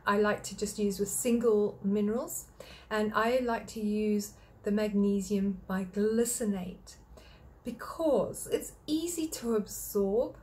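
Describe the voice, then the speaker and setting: An older woman from Australia who runs a thyroid clinic, talking straight to a webcam in a small home office and calmly listing health points one by one. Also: mid-range voice, slow. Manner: friendly, explanatory, lists points one by one. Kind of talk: direct-to-camera health talk.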